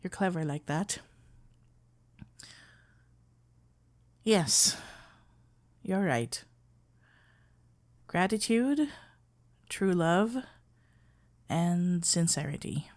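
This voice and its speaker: Woman playing a slow-spoken, nervous, lovestruck florist. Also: lowish voice, expressive, a little mellow. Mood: tender, earnest. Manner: hesitant, stammering, halting, with whispered breathy moments. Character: nervous florist best friend, shy, smitten, tender. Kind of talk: romantic ASMR roleplay acting.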